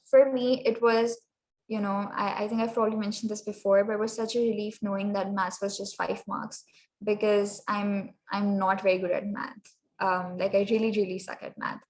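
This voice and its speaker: A young woman from Pakistan with a Pakistani English accent, presenting at an exam-prep workshop. She talks in a loose, conversational way with lots of "um"s. Her voice sits in an ordinary middle range, and she often switches into Urdu mid-sentence. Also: medium pitch. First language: Sindhi. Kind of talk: test prep workshop talk.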